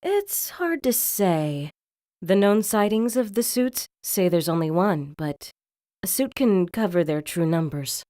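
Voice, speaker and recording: A woman acting as an easygoing, quick-witted and compassionate police detective in an audio crime drama. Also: lowish voice, expressive, dark tone, no room sound. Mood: matter-of-fact.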